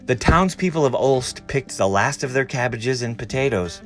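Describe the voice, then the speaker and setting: A man reading a storybook aloud in an expressive, dramatic and deliberate way. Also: medium pitch, faint music behind. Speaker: story reader.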